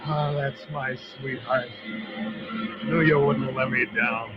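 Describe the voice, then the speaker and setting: An actor playing a tough, wry American war-veteran pilot in an old-time radio drama, speaking in a clipped, laconic way. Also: male voice, medium pitch, fairly even intonation, slow pace, over loud music, lightly acted. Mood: urging. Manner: clipped, laconic, hard-boiled. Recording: dull, noisy old radio show recording.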